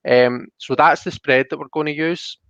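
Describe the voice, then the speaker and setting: A man's voice: a little high, steady tone, normal speed, vocal fry, fairly bright tone, no echo, highs cut off. A man hosting a casual livestreamed tarot reading, explaining things in an informal, hesitant, chatty way. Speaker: host.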